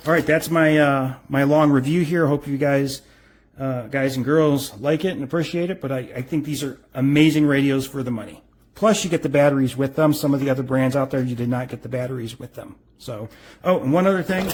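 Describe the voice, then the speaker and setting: A man's voice: medium pitch, a little mellow. A middle-aged man giving a casual, unscripted product review in an informal, rambling, explanatory way.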